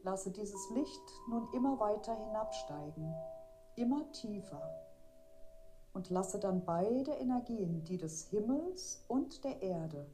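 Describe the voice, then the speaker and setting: A woman guiding a meditation in a gentle, deliberate, soothing manner. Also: medium pitch, expressive. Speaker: meditation guide. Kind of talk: guided meditation narration. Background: meditation music.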